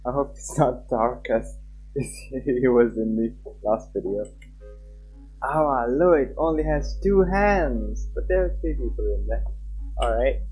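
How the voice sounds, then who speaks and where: A man's voice: mid-range voice, slow pace, darkish tone, slightly rough. A young man chatting casually on a game livestream and reading story text aloud, loose and repetitive in his talk. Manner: chatty, loose, repeats himself. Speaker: livestreamer, host. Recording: dull lo-fi mic with background music. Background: game background music.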